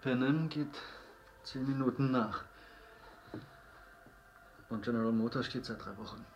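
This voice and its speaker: A man's voice: mid-range voice, a little slow, lo-fi sound, slight background noise, natural voice. An actor playing a curt, dismissive man talking with his partner in naturalistic radio drama dialogue.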